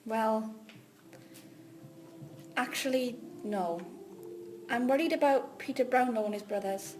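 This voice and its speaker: A woman's voice: on the high side, slow pace, darkish tone. A woman in an amateur drama reading, playing a polite, anxious secretary with a deferential manner. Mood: worried. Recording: faint background noise.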